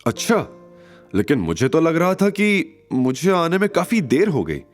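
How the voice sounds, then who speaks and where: A man voicing a calm, protective, kind young hero in an audio drama, with a gentle, soothing manner. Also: fairly high pitch, a little slow, faint music behind, voice pushed up. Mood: wistful. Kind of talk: Hindi audio drama acting.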